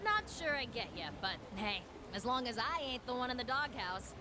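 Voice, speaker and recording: A woman's voice: on the high side, expressive, moderate pace, background noise, natural voice. An actress voicing a breezy, streetwise young woman underworld fixer in a video game, talking in a casual, slangy, cajoling way.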